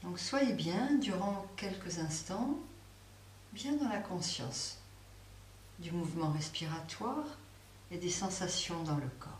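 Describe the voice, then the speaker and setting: Slow, soft-spoken woman guiding yoga. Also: lowish voice, lively intonation. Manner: slow, soft, pausing guidance. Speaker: yoga nidra teacher. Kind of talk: guided relaxation. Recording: roomy, distant mic with faint hiss.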